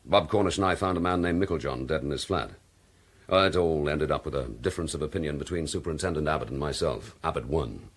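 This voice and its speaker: An actor playing a determined, dryly courteous British police detective in a radio drama, husky and clipped. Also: male voice, a bass voice, quick pace. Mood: musing.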